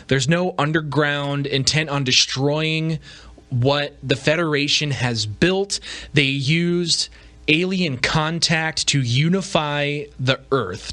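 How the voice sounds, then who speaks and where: A man's voice: a little high, bright, forceful tone. A man hosting a podcast with casual solo commentary, talking informally and conversationally with rhetorical asides. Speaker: podcast host.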